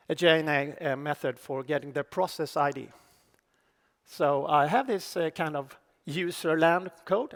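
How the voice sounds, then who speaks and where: A middle-aged man with a Swedish accent giving a technical conference talk on stage, speaking haltingly with many fillers and restarts. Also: on the high side, creaky. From Sweden. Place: large conference hall on stage. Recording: reverberant conference hall.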